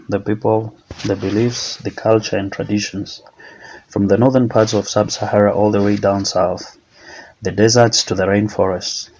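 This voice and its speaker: A man's voice: on the low side, darkish tone, dull audio, slight background noise. A man reading a scripted essay aloud as a contributor to an academic program. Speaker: contributor answering a question on African studies.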